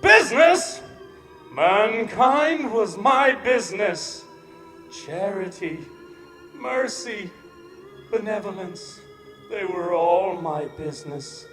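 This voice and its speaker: A mournful ghost in a radio play, high voice, drowned in hall reverb, solemn and declamatory. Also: male voice, animated, slow pace, pauses often, exaggerated voice. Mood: anguished remorse. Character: tormented male ghost, remorseful, solemn, warning. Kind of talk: stage radio drama acting. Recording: echoing hall, long reverb, music underneath.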